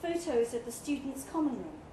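Woman reading literary fiction out loud at a live event, really varied pitch, echoey noisy room. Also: very animated, moderate pace. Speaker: story reader. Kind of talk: live literary short story reading, first-person narration. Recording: roomy live pub recording, clear background noise.

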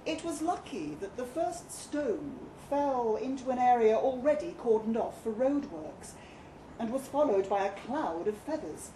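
A woman reading a short story aloud at a live event, with expressive, deliberate and theatrical narration. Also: a little high. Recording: echoey live room, background noise.

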